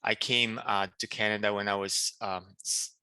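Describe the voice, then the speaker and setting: A man from Poland with a Polish accent, answering interview questions in a halting way with many restarts. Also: fairly low pitch, level tone, pushed and bright, clear, resonant tone, no room sound. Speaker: political candidate.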